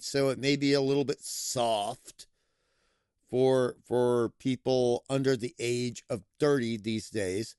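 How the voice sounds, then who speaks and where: A man giving a casual, playful film review, with lively emphasis that he stretches out for effect. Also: mid-range voice, smooth, no room sound, studio quality. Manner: playful, animated, drawn-out emphasis. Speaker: movie reviewer.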